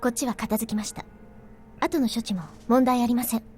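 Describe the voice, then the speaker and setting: A woman playing a brisk young female military subordinate in a Japanese visual novel, dry and businesslike. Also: on the high side, fairly even intonation, a little mellow, close to an everyday voice. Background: game background music.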